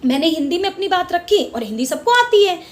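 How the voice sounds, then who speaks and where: A woman's voice: very high-pitched, big pitch swings, a little fast, a little hiss or hum. A middle-aged Indian woman hosting her own vlog, speaking with passion, repeating words for emphasis and mixing English words into her Hindi. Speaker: channel host. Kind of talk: casual opinion vlog. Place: lives in Mumbai.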